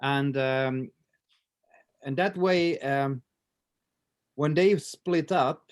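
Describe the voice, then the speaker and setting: A man in his fifties with a Swedish accent, talking in a casual interview with many pauses, fillers and restarts. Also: mid-range voice. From Sweden. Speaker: martial arts instructor, guest.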